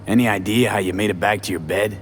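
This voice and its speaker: An actor playing a laconic, street-smart mercenary investigator in a cyberpunk video game, asking clipped questions. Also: male voice, fairly low pitch, quick pace, a little hiss or hum, natural voice. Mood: probing. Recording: music behind.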